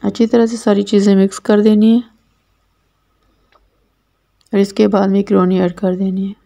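A woman's voice: medium pitch, little pitch movement, normal speed, gravelly, mellow. A woman with a Pakistani Urdu accent, hosting a cooking channel and explaining a recipe step by step in a plain, repetitive instructional way. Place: home kitchen.

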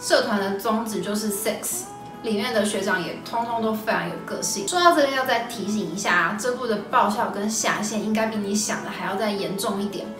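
A woman's voice: medium pitch, fairly quick. A woman in her twenties with a Taiwanese Mandarin accent, hosting a scripted recommendation vlog. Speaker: video host. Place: small indoor room. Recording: echoey room, slightly distant mic. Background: background music.

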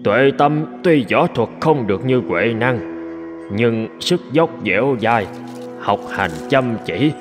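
A calm old abbot from a cartoon folk tale, addresses his pupils. His delivery is measured, solemn and teacherly, at a middling pitch, with soft music behind him. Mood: thoughtful. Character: old bearded temple abbot, calm, wise master. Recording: music under the voice.

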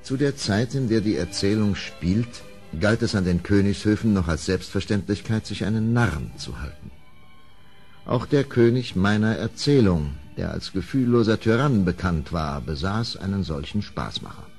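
A man's voice: fairly low pitch, expressive, normal speed, a little mellow, husky. A man narrating a scripted tale as a storyteller in an audio drama. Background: music.

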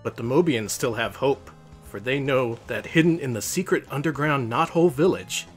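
A man's voice: mid-range voice, moderate pace, soft background music. A man reading a children's picture book aloud as host, in storybook narration.

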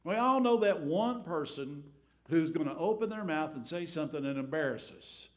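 A middle-aged man preaching a storytelling sermon from a church pulpit, swinging between big acted-out crowd voices and quiet asides. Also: a little high, animated, moderate pace. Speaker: pastor. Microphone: headset mic.

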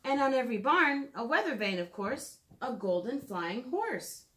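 A woman in her thirties reading aloud and leading a drawing lesson for preschoolers in a clear, simple storytelling manner, recorded in a room at home. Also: a little high, very animated, normal speed, fairly bright tone. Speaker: children's educator reading and drawing. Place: small room at home, indoors.

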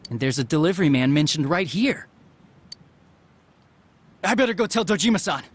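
High, animated, hapless police detective. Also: male voice, normal speed, breathy edge. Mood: intrigued. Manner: hesitant, informal, flustered. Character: hapless, casual young male police detective. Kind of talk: video game voice acting. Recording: music under the dialogue.

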